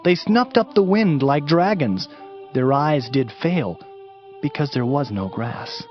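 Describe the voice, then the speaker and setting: Impassioned lamenting prophet, highly animated pitch, solemn declamation, scripture drama, slightly dull recording. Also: male voice, slow. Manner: solemn, declamatory, archaic phrasing. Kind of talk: dramatized Bible reading. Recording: dull audiobook sound with music underneath.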